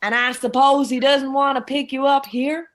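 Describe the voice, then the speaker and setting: A woman's voice: sits high, fairly even intonation, moderate pace, slight background noise, lightly acted. A woman playing an exasperated, status-conscious mother in an amateur radio drama.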